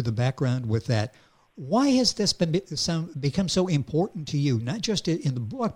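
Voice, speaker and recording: A man's voice: mid-range voice, a little mellow. A man hosting a radio program, interviewing a guest in a friendly, conversational way. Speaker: radio show host.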